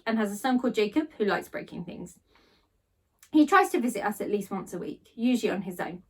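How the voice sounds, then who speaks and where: Animated, high-voiced woman reading a storybook. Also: far from the mic. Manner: animated read-aloud delivery. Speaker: teacher reading a children's novel aloud. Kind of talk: expressive storybook narration in first person.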